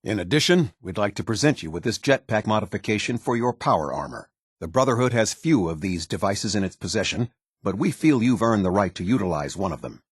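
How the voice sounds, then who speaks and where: Stern military captain, deep and husky. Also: male voice, animated, no room sound, clean studio sound. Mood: proud, formal. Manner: formal, measured, clipped military authority. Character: stern, loyal male military captain aboard an airship. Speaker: military officer giving orders and briefings. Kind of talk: scripted video game voice acting.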